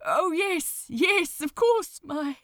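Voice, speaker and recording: A woman voicing a prim, tender, heartbroken male angel in a storybook reading, halting and fluttery. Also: extremely high pitch, lively intonation, a bit bright, no echo, studio quality, voice pushed up, heavily acted. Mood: flustered, choked.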